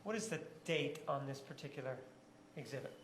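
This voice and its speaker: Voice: medium pitch, lively intonation, fairly quick. Pointed courtroom lawyer in an echoey room. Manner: pointed leading questions ending in short tags. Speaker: lawyer questioning witnesses. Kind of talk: courtroom cross-examination. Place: large indoor courtroom. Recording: echoey courtroom, faint background noise.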